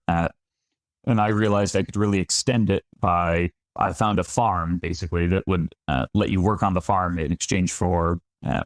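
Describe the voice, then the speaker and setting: A man's voice: sits low, no echo, clean studio sound. A man in his thirties from upstate New York, a winemaker guest on a podcast, chatting in a self-deprecating way with frequent restarts. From Finger Lakes region of New York. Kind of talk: conversational podcast interview.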